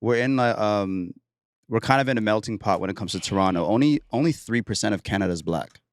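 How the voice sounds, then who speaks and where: A man's voice: fairly low pitch, brisk, dry recording. A man on a panel, talking in a rambling way and restarting his sentences, in a casual group discussion. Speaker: panel guest.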